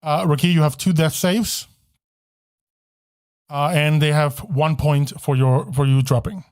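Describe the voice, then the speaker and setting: A man running a tabletop game as game master, narrating combat in a halting, self-correcting way. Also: fairly high pitch, steady tone, darkish tone, dry recording, clean studio sound.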